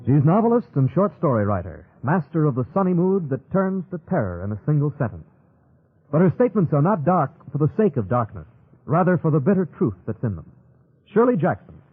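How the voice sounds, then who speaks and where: A man's voice: fairly high pitch, very animated, brisk, slightly rough. A man reading scripted announcements in a mid-century radio style. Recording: narrow-band old radio broadcast.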